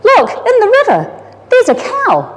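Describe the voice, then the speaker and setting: A woman voicing an excited, kind-hearted small child from a storybook, exclaiming eagerly in a reverberant room. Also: very high-pitched, measured pace, pitched above the performer's own voice, exaggerated voice.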